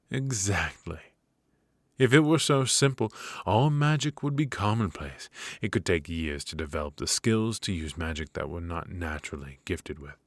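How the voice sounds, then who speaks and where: A cold, exacting royal professor of magic, voiced by a man, lecturing his pupil in a measured, stern manner. The voice sits very low and deep, close to the mic in a dry, dead room with no echo. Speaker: voice actor in ASMR roleplay. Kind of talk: ASMR roleplay.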